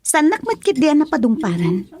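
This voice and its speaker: An actress with an Ilocano Filipino accent playing a frank, soft-hearted young woman in a radio drama. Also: sits high, big pitch swings, brisk, dry recording. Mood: shy, frank.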